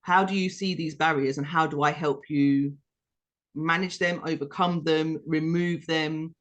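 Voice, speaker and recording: A British woman co-hosting a podcast, explaining her views in a measured, reflective way and building her points step by step. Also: low voice, fairly even intonation. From United Kingdom. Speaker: podcast co-host.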